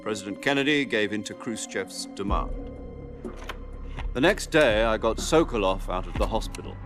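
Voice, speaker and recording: Actor as deep-voiced, deliberate spy commander. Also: male voice, lively intonation, music in the background, natural voice. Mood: grave, explanatory. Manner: measured, expository, deliberate phrasing. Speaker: military commander giving a mission briefing. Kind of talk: dramatic scripted video game dialogue.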